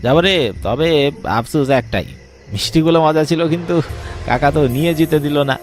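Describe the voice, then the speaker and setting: Man playing animated young friend, pitched up. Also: normal speed, faint music behind, natural voice. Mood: teasing. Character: jovial, bold young man teasing his friends. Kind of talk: cartoon story voice acting.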